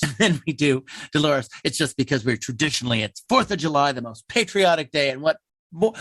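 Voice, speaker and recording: A man's voice: fairly high pitch, pushed and bright, no room sound. An American man, a theater playwright, answering interview questions in an earnest, emphatic, talkative conversational way.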